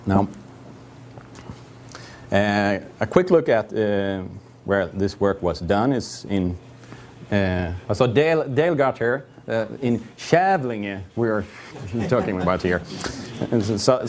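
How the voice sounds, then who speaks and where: A man in his thirties speaks with a Swedish accent, his voice pitched a little low. He presents at a lectern in a conference hall, hesitant and full of fillers and restarts. Faint room hum sits behind him. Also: darkish tone. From Sweden. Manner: hesitant, many fillers and restarts, self-deprecating humor.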